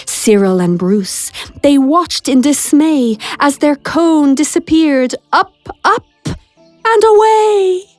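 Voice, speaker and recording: A woman reading a rhyming children's picture book aloud as a storyteller, with a dramatic, deliberate delivery. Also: high-pitched, expressive, dry recording. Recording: music behind the voice. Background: music.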